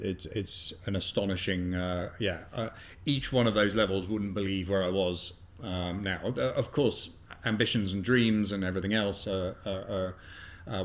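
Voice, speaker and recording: A man in his fifties, a guest in a casual interview, talking in a halting way with many fillers. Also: low-pitched. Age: 50s. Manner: hesitant, heavy on fillers. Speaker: guest, business buyer.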